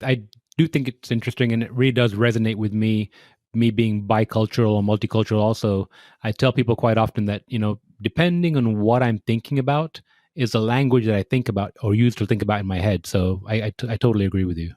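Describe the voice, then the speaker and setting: A man's voice: fairly low pitch, fairly even intonation, quick pace, a little mellow, no echo, studio quality. A man hosting a podcast, with polite, prepared introductions and questions for his guest. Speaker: podcast host. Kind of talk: interview hosting.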